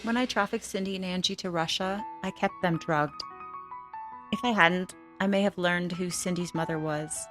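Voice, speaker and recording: A woman's voice: lowish voice, moderate pace, close to an everyday voice. An actress playing a hard-boiled female ex-trafficker, narrating her own story in a frank, crude, wry way for a film. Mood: bitter, wry. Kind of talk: first-person film narration. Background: music.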